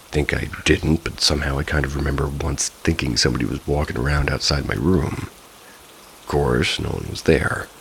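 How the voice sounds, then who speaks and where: A man's voice: extremely low pitch, gravelly, a little hiss or hum, low-quality recording. A man narrating first-person ghost stories from a script, in the style of a bedtime listen. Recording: steady rain ambience behind the voice. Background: rain falling.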